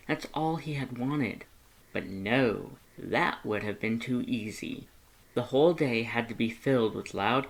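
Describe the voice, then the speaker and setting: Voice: medium pitch, a bit bright, rough recording. A narrator reading a fan fiction story aloud from a script.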